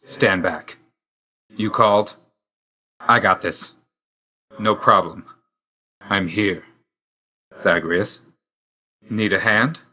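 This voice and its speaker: A man's voice: on the low side, very slow, pauses often, sharp tone, exaggerated voice, rough recording. A man voicing a grim, reserved god of death in a video game, speaking tersely and solemnly with dry loyalty. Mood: grim, curt. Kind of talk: scripted video game voice lines.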